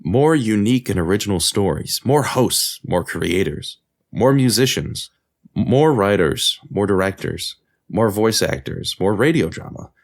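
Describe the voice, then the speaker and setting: A man's voice: on the low side, animated, measured pace, gravelly. A man hosting a podcast, reading scripted narration as a creator of audio drama.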